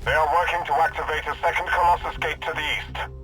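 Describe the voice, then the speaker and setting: A man's voice: fairly high pitch, flat delivery, fast, close to an everyday voice, rough recording. Formal military officer played by a man over music. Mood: dutiful. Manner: formal, clipped military briefing. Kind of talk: video game voice acting.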